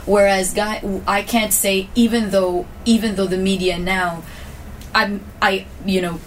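A woman's voice: medium pitch, fairly even intonation, unhurried, slight background noise. A woman co-host sharing a personal story earnestly in a podcast chat, often restarting her sentences. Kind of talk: casual podcast conversation. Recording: voice picked up from a distance.

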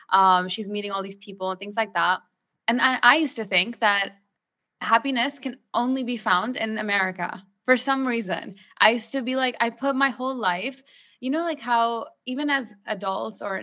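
A woman's voice: medium pitch, bright, forceful tone, highs cut off. A young woman from Pakistan, a podcast guest, chatting casually and filling her speech with "like". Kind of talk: casual interview chat.

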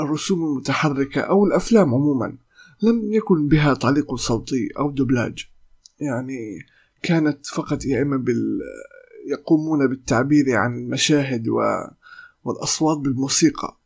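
A young man from Palestine, a voice actor, hosting a solo podcast in careful formal speech, pausing often with fillers and restarts. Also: mid-range voice, animated, darkish tone, husky. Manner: hesitant, many "uh" fillers and restarts. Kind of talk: solo podcast talk in Modern Standard Arabic.

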